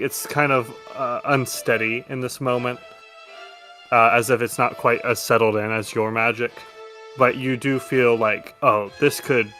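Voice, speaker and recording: A man acts as game master, improvising narration for a tabletop game. His voice is bright and sits at a middle pitch. Soft music plays behind him.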